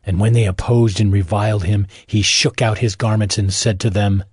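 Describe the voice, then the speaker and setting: A man narrating scripted audio Bible scripture as a measured, solemn storyteller. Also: fairly low pitch, steady tone, husky, dry recording, clean studio sound.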